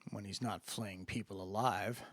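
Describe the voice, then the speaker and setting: A man's voice: fairly low pitch, fairly even intonation, a little slow, dry recording, studio quality, pitched below the performer's own voice. A man voicing an easygoing good old boy from Mississippi, a spacefaring mentor with a folksy Southern drawl, in a science fiction audiobook. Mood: wry.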